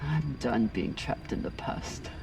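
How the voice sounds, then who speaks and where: A woman's voice: extremely low pitch, takes it slowly, gravelly, far from the mic, background noise, exaggerated voice. An actress playing a hardened, guilt-ridden woman spacer, haunted and bitter, in a dramatic game. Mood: haunted, weary.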